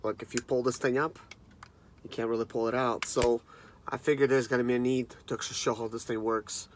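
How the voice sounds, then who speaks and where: A man's voice: mid-range voice, little pitch movement, a little fast, slight background noise. A man in his thirties chatting casually and informally to viewers as he reviews a product. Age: 30s. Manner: informal, conversational, addresses viewers as guys. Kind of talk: casual unboxing chat to camera.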